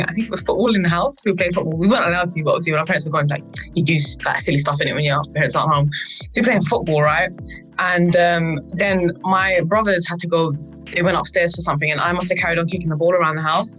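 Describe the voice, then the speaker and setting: A woman's voice: on the low side, a little fast, soft background music, low-quality recording. A young British woman telling personal anecdotes in a casual, chatty way, peppered with "like" and "right?". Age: young adult. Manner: animated, quotes people in acted voices, frequent "like" and "right?". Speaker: youth board podcast speaker.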